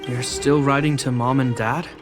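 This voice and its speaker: A man's voice: medium pitch, fairly even intonation, moderate pace, lightly acted. A man voicing an earnest, reluctant young brother in a video game, speaking in a restrained, dry, matter-of-fact way. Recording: studio voice over background music. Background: game background music.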